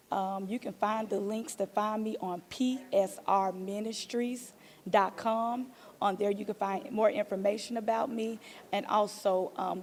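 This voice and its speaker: A woman speaks as an interviewed stage actor, reflective and earnest. Her mid-range voice carries clear vocal fry as she offers encouragement. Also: mid-range voice, darkish tone.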